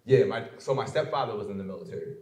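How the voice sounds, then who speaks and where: A man's voice: fairly low pitch, animated, rapid-fire, a little mellow. A man with an American accent telling his story casually as a guest, often checking in with short tag questions. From born in Germany, grew up in upstate New York. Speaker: interview guest, poet. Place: indoor venue live event. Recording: roomy echo of a live venue.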